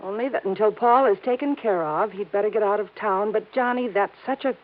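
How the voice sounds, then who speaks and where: A woman's voice: medium pitch, brisk, natural voice. An actress playing an anxious young wife in a 1950s radio drama, pleading as she talks. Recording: tinny old radio broadcast, faint hiss.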